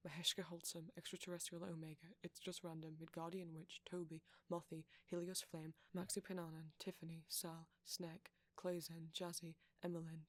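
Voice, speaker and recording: A man softly reading out a long list of supporter names as an audio roleplay creator, sometimes dropping into a whisper. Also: on the high side, dry recording. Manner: soft-spoken, slipping into whispers at times.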